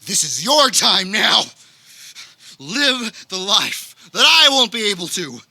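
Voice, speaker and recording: A woman's voice: mid-range voice, big pitch swings, slow, pushed and bright, dry recording, exaggerated voice. A woman playing a hapless, paranoid, melodramatic young man in a comedic radio play. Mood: melodramatic wailing. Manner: hammy, panicky, overdramatic. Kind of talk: comedic radio theater acting. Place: backyard, live performance.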